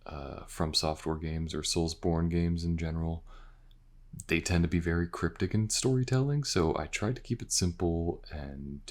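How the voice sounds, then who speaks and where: A man's voice: deep voice, normal speed. A man who makes voice-acted audio, talking casually and directly to his listeners in an outro. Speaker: voice actor and creator of the audio.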